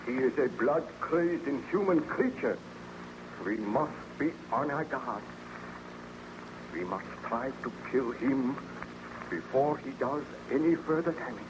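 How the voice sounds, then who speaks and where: Male actor as fearful young nobleman, anxious, evasive, melodramatic swoops in pitch, halting phrases, noisy old-time radio sound. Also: animated, natural voice. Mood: fearful, agitated.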